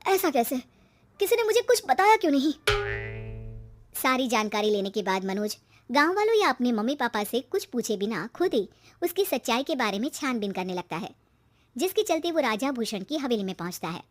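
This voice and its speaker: A woman narrates a scripted cartoon story. Her voice sits high, and she tells it as a storyteller would. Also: big pitch swings, brisk, faint music behind.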